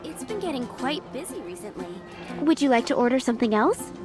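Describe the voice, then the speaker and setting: A courteous young game waitress, high-pitched and very lively in intonation. She sounds eager and apologetic, with music clearly behind her. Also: a little fast. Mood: welcoming. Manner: polite, eager customer-service delivery. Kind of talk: video game character dialogue.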